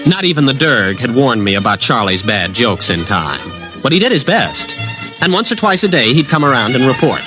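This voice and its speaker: A man's voice: mid-range voice, big pitch swings, normal speed, close to an everyday voice. A young man narrating and playing the lead in a 1950s radio drama, wry, skeptical and conversational. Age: young adult. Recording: old radio broadcast with music.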